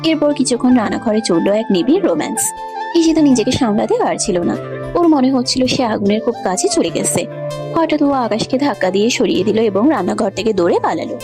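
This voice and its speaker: A woman's voice: on the high side, expressive, fast, music in the background. A woman reading scripted narration for a romantic story.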